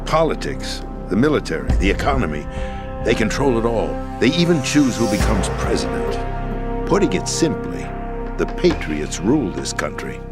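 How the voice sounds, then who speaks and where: An actor playing a disillusioned middle-aged American president in a video game, speaking in a grave, deliberate, theatrical way. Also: male voice, lowish voice, very animated. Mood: intense, cynical. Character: disillusioned, bitter middle-aged US president, power-hungry and weary. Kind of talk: dramatic video game voice acting. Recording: music behind the voice.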